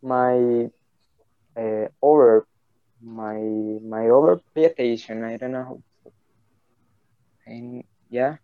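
A slow, hesitant man from Colombia speaks English as a second language, restarting his sentences and apologizing for his words. His voice is smooth and clear. The sound is dry and a little dull. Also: mid-range voice, steady tone, no room sound. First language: Spanish. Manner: halting, many restarts and apologies. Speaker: English student. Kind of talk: interview.

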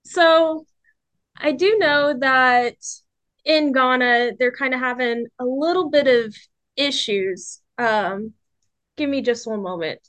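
A young woman conducting an interview for a class, asking polite, careful questions with pauses. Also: high voice, a bit bright, dry recording. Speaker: interviewer, student.

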